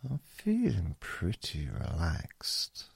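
Very deep, slow, creaky-voiced man. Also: huge pitch swings, no echo. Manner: very slow, hushed delivery with long pauses. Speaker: hypnosis podcast host. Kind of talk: slow relaxation hypnosis, soothing.

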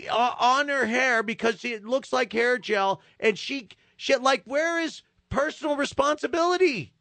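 A man's voice: very high voice, a little slow, dry recording. A man hosting a call-in talk radio show, ranting in disbelief and riffing with callers. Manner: animated, incredulous ranting. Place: radio studio. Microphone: studio microphone.

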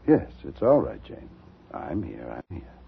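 An actor playing a calm, reassuring male doctor in a 1950s radio drama, speaking in a measured way. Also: a bass voice, gravelly, slightly rough, exaggerated voice. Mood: soothing. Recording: dull, noisy old radio recording.

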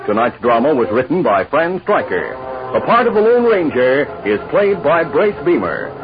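A man's voice: on the high side, huge pitch swings, normal speed, music in the background. A man announcing and narrating a 1950s radio show in a dramatic, emphatic style. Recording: dull old radio recording.

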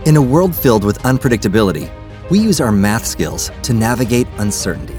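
A man reading a scripted commercial in a measured, earnest way. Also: mid-range voice, sing-song, a little mellow, faint music behind.

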